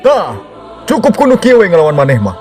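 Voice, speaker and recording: A man with a Sundanese accent performing comic parody cartoon dialogue in a coarse, colloquial, animated way. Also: sits high, brisk, soft background music. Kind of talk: comic character dialogue dubbing.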